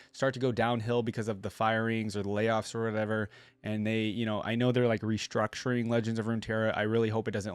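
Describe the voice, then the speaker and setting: A man's voice: on the low side, dry recording. A man hosting a reaction video, talking casually in a chatty, rambling and informal way. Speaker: host of a game lore reaction channel. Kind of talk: casual reaction commentary to camera.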